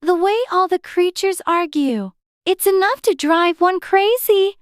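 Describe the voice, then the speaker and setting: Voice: very high voice, a little slow, no echo, studio quality, voice pushed up. A narrator voicing a curious, prim and polite little girl in a storybook, earnest and insistent. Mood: exasperated.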